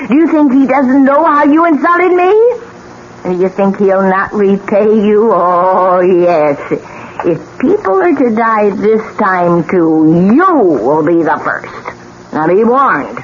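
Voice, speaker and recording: An actress playing a bitter old widow with a slow, foreboding, dramatic delivery in a 1970s radio drama. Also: female voice, mid-range voice, animated. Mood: vengeful, warning. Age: older. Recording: dull, noisy old radio broadcast.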